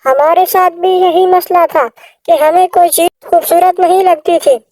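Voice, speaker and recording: Woman voicing a high, creaky little cartoon bird. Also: fairly even intonation, a little slow, a little mellow, no room sound, exaggerated voice. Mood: reassuring. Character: kind, wise little female songbird. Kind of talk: children's cartoon story dialogue.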